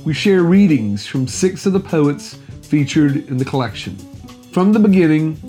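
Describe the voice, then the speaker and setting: A man's voice: fairly high pitch, darkish tone. A man, reading scripted narration as a podcast host.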